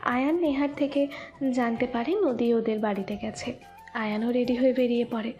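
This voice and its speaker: A woman narrates a scripted love story. Her voice sits fairly high, and faint music runs underneath the narration. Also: moderate pace, warm tone, muffled recording.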